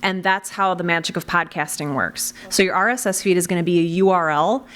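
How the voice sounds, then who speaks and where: A woman's voice: fairly low pitch, fairly quick, fairly bright tone. A woman giving unscripted, practical advice on a panel, emphatic and repeating her warnings. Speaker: panelist and podcast creator. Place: convention panel room.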